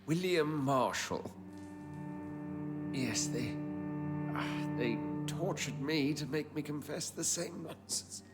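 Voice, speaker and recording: A man's voice: fairly high pitch, slow, long pauses. An actor playing a badly injured male physician in a video game, earnest and evasive, his voice strained. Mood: wary, strained. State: strained, gravely hurt. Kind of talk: dramatic video game acting. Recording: dramatic music behind.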